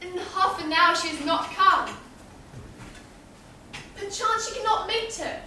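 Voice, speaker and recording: A woman's voice: very high-pitched, slow pace, pushed and bright, heavily acted. A woman playing an impatient, lovestruck teenage girl on stage, pleading theatrically in Shakespearean style. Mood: impatient, brooding. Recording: echoey hall, distant mic, faint noise.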